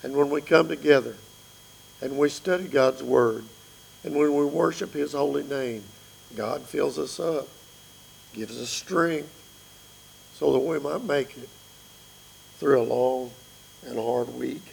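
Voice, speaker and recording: A man in his fifties with a raspy voice, preaching an earnest sermon that pleads with his listeners. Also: mid-range voice, slow, pauses often, darkish tone, a little hiss or hum, rough recording.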